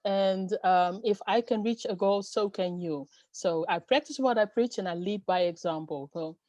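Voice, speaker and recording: A middle-aged woman from the Netherlands, answering interview questions earnestly with many pauses and fillers. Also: medium pitch, a little mellow, dry recording. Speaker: guest, storyteller and event host.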